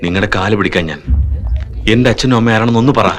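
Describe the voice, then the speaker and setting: A man's voice: on the low side, very fast, loud music behind the voice, close to an everyday voice. A man playing an insistent pleading young man.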